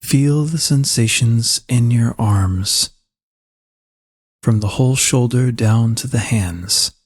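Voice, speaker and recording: A man leading a slow guided meditation in a calm, soothing and unhurried manner, with a soft husky voice. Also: medium pitch.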